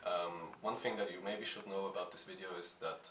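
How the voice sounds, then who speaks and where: A shy man answering fan questions in a halting, casual way, with many fillers and restarts. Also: low-pitched, steady tone, background noise. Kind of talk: hesitant casual talk to camera. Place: at home. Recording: echoey room, mic at a distance, music playing. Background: music.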